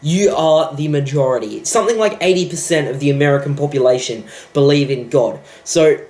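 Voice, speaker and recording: A man's voice: on the high side, brisk, darkish tone. A young man ranting about a film in a sarcastic, profane, exasperated way. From Australia. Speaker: vlogger. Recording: echoey room.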